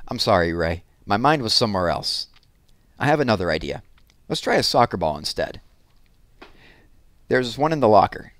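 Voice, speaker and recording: A man's voice: on the low side, sing-song, normal speed, pauses often, a bit bright, no room sound. Man plays eager young male gym instructor, storybook dialogue; earnest, emphatic, dry sound. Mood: friendly, brisk.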